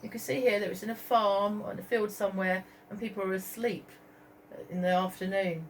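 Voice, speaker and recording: A woman reading a story aloud to young children as a teacher, expressively, pausing to point out pictures and ask questions. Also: medium pitch, fairly even intonation, slight background noise. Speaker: primary school teacher.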